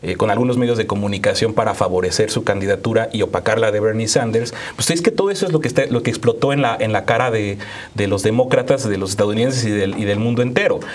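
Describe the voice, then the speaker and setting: A Mexican man in his thirties speaks as a guest on a studio panel. His voice is on the low side, and he gives his opinions conversationally, pausing to search for words. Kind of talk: conversational political commentary. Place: small dark quiet studio, seated at table.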